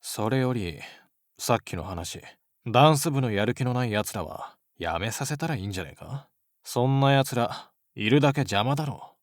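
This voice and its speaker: A man playing a cool, blunt, athletic teenage schoolboy in an anime-style voice drama, talking in curt, rough slang. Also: medium pitch, expressive, normal speed, no room sound, studio quality, lightly acted. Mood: cool, matter-of-fact.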